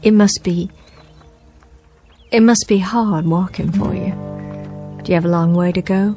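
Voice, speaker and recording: An actress playing a genteel, frail, wistful war widow with a gracious, reflective manner in a radio drama. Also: female voice, fairly low pitch, fairly even intonation, a little slow, warm tone, faint music behind. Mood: concerned.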